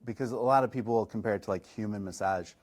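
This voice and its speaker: Relaxed, chatty man with a lowish voice. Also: fast talker. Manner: relaxed, self-deprecating, chatty with fillers. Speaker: chiropractor and massage chair reviewer. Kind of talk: casual podcast interview answers.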